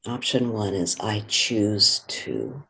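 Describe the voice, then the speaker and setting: A meditation guide speaking in a gentle, soothing, unhurried way, giving slow instructions with long pauses. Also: mid-range voice, big pitch swings, creaky, slightly rough.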